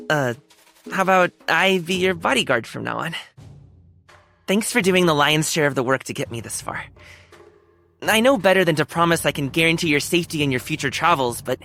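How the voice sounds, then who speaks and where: Animated, boyish young martial-arts guy. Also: sits high, exaggerated voice. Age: young adult. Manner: animated, friendly, slangy and boyish. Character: eager, good-natured young male wushu dancer and guard. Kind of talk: video game character voice lines.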